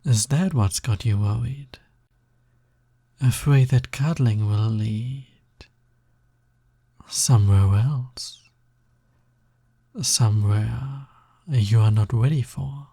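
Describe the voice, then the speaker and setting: Husky, unhurried man as a teasing snake demon. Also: mid-range voice, dark tone, dry recording. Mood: coaxing, teasing. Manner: teasing, coaxing, casual. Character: flirty, pushy male naga snake demon roommate. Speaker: audio roleplay voice actor. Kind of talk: intimate ASMR-style audio roleplay.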